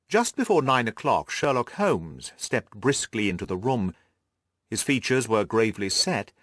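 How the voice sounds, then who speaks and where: Expressive male audiobook narrator, dry recording. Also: mid-range voice, no room sound. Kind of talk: scripted literary narration.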